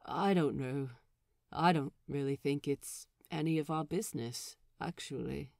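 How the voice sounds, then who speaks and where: A subdued, sad male pirate, acted by a woman narrator, speaks gently and hesitantly. The voice drops lower and stays understated, recorded dry for a story reading. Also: measured pace, creaky, no room sound.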